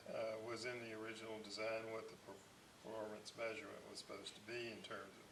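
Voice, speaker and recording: A man giving a casual, halting and rambling workshop explanation, reflecting on technical details as he goes. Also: on the low side. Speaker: host of a radio restoration channel. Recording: roomy, distant mic, steady background noise.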